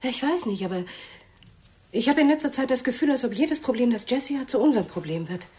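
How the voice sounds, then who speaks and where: A woman's voice: a little high, animated, quick pace, warm tone, lightly acted. An actress playing a touchy, reproachful wife and fussing mother in a German radio play. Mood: defensive, edgy.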